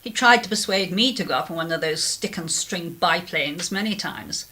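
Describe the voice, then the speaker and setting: A woman's voice: on the low side, lively intonation, moderate pace, sharp tone. An actress playing a genteel, regretful middle-aged clergyman's wife in a 1930s radio drama, speaking in a formal, hesitant way. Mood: wistful.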